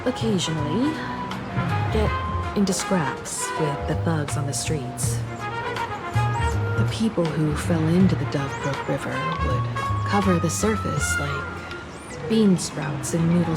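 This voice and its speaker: An actress voicing a calm, nostalgic adult woman in a video game, speaking in a measured, articulate, reflective way. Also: low voice. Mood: nostalgic, wistful. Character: calm, nostalgic adult woman, former scrappy student martial artist. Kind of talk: video game story dialogue. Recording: game music behind the voice.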